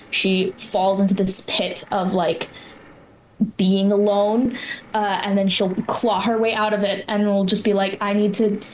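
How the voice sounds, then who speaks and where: A woman's voice: mid-range voice, slight background noise, rough recording. A woman playing a tabletop game, improvising narration of her character's inner state, searching for words and rephrasing as she thinks aloud.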